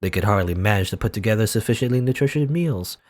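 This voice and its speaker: A man's voice: fairly low pitch, lively intonation, quick pace, a little mellow. A young man reading a visual novel aloud dramatically, breaking off into rambling asides full of fillers. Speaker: let's player.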